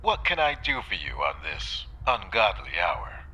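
A man's voice: low voice, huge pitch swings, unhurried, bright, forceful tone, full character voice. A man playing a weary business executive roused from sleep, reluctant and curt on a phone call in an audio drama. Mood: groggy, resigned.

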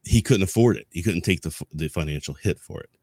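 A man speaking as a podcast co-host in a casual history discussion, explaining things in a halting, rambling way. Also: sits low, a little mellow, no room sound.

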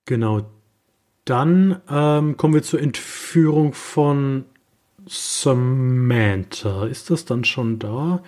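A man co-hosting a casual film discussion podcast, speaking haltingly as he searches for his words. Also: medium pitch, a little mellow. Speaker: podcast co-host.

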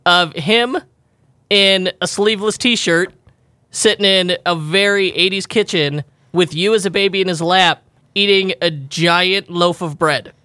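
A man's voice: sits high, measured pace, pushed and bright, smooth, dry recording. A man co-hosting a podcast in casual chat, rambling and laughing often as he corrects himself. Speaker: podcast co-host.

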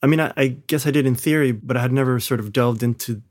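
A man of average pitch who grew up in Malaysia talks from his home studio as a podcast guest. He answers in a loose, reflective way, restarting phrases and hedging as he thinks. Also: quick pace, darkish tone. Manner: reflective, with frequent restarts and hedges. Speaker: guest, film composer. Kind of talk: casual interview talk.

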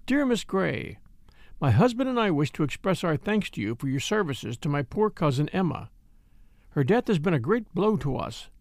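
A man's voice: on the high side, gravelly, a little mellow, no room sound, clean studio sound. Man reading as a pompous, stuffy married lady. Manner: formal, pompous. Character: stuffy, self-important married lady composing formal letters.

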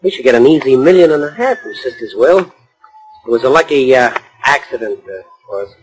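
Actor as snide, greedy heir on old radio. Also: male voice, mid-range voice, expressive, moderate pace, close to an everyday voice, rough recording. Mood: smug. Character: callous, greedy, sneering son of a rich family. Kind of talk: 1940s radio acting. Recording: old 1940s radio recording with music.

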